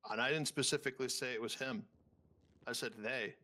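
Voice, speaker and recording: A man's voice: mid-range voice, fairly even intonation, a little fast, pushed and bright, close to an everyday voice. A man playing a stubborn witness in improvised roleplay, giving clipped, evasive answers. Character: guarded, stubborn male witness on the stand.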